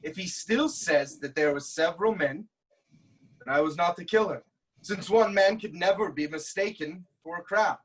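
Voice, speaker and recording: A performer playing a proud, anguished king haunted by prophecy, in a grave, deliberate dramatic reading of Greek tragedy. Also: medium pitch, husky, lightly acted, poor audio. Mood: guarded hope.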